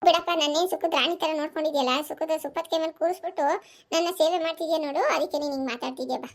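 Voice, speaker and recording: A woman voicing an overworked, sharp-tongued village housewife and mother in a family cartoon, scolding and complaining with a rural southern Karnataka accent. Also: high voice, steady tone, rapid-fire, natural voice. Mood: indignant, sarcastic. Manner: scolding, complaining, rhetorical questions.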